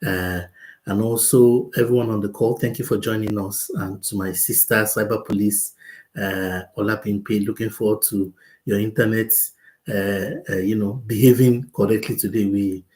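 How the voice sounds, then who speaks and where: A man, a cybersecurity coach, explaining on an online panel in a lively way, building his point with comparisons and restarting phrases. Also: on the low side, moderate pace. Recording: echoey video call audio.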